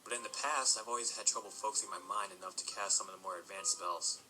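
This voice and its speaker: A man's voice: lowish voice, fairly even intonation, fast, over music, lightly acted, low-quality recording. A man playing a proud, sarcastic young stallion who studies magic, acting in an audio drama. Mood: exasperated. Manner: dry, sarcastic, hesitant when cornered. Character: sardonic, proud, self-deprecating young stallion magic student.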